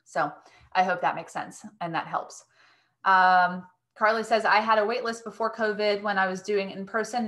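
A woman's voice: lowish voice, pushed and bright. A woman in her thirties, a business coach casually answering questions as host of an online webinar.

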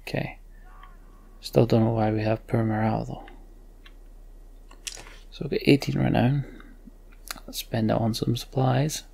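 Very creaky male Let's Play host. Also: mid-range voice, steady tone, measured pace, long pauses, dark tone, slight background noise. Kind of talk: casual game commentary and story read-aloud.

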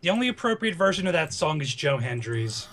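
A man co-hosting a game stream, trading quick wisecracks in casual banter. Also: fairly high pitch, very animated, fairly bright tone, a little hiss or hum. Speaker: stream co-host.

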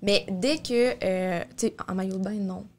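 A woman's voice: medium pitch, normal speed. A young woman with a Québécois French accent, a support worker explaining things as a guest on a podcast in a small studio, with many fillers and self-corrections. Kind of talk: casual podcast discussion. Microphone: studio mic on boom arm, headphones.

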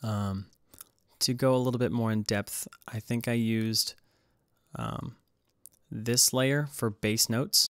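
A man's voice: medium pitch, fairly even intonation, slow, fairly bright tone, dry recording, clean studio sound. A man giving a casual, hesitant tutorial voice-over as he explains music production. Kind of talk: casual screen-recorded tutorial narration.

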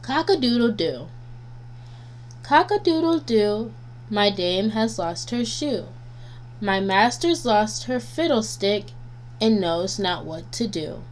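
A woman reading nursery rhymes aloud for children as a guest reader, in a deliberate, sing-song way. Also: medium pitch, animated. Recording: noisy home recording with hum.

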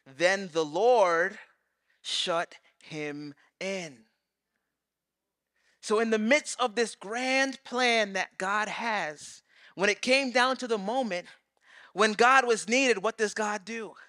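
Pastor, man, 30s, lively stage sermon; high, bright tone, dry close mic. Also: slow, no room sound. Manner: animated, acts out quoted voices, swings loud and soft. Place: large church stage.

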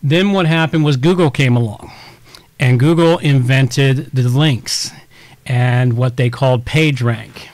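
A man's voice: medium pitch, measured pace, darkish tone, no room sound. A man hosting a tech podcast, explaining things casually in a rambling way with many restarts and everyday examples. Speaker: podcast host.

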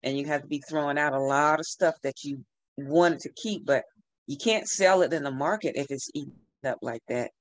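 A middle-aged woman giving relaxed, repetitive gardening advice over a video call from a room at home. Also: low voice, normal speed, no echo. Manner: relaxed, advising, repeats herself. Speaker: gardening speaker. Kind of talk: casual online talk. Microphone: video call webcam.

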